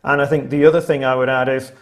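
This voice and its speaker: Man, webinar moderator, middle pitch, conversational, lightly humorous. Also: little pitch movement, quick pace. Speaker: panel moderator. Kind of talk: webinar panel discussion.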